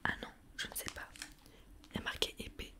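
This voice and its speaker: A young woman whispering a casual grocery haul for ASMR, chatty and self-mocking with plenty of hesitations. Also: brisk. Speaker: ASMR vlogger.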